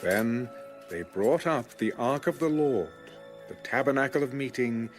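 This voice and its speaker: A man reading scripture as a scripted audio Bible narration, in a measured, formal way. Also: mid-range voice, lively intonation. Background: music under the reading.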